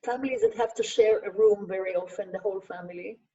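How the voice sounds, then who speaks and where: A woman's voice: mid-range voice, steady tone, fast, warm tone. An older woman, a Hebrew speaker and sociologist, answering questions earnestly in an online masterclass, stressing points with lists and frequent restarts. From Israel. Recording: distant, dull lo-fi video call audio.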